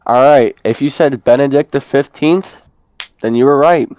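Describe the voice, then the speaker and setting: A man's voice: mid-range voice, moderate pace, dull audio, loud music behind the voice. A young man presenting from a script in the manner of a quiz host. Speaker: student co-presenter and quizmaster.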